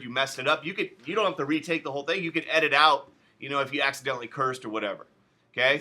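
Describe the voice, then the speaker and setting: Bright-voiced man teaching a class. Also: medium pitch. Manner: chatty, loose asides, talks students through each step. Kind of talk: casual classroom software tutorial.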